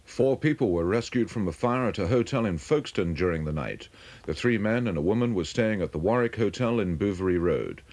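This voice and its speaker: A man's voice: lowish voice, animated, brisk, darkish tone. A man with a British accent reading a scripted regional television news bulletin. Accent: British English.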